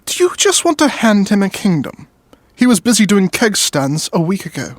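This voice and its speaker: Ambitious, pompous royal uncle. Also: male voice, high voice, big pitch swings, normal speed, no echo. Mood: insistent. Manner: stately, formal, self-important. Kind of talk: modern audio drama acting.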